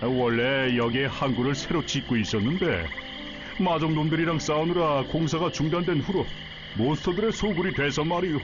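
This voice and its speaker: Fairly high male voice, chatty middle-aged townsman, played by an actor, folksy, blunt, game dialogue, music behind. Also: moderate pace, natural voice. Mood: apologetic. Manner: gruff-worded, rustic, familiar, talkative. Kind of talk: Korean game story voice acting. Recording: dull sound under music.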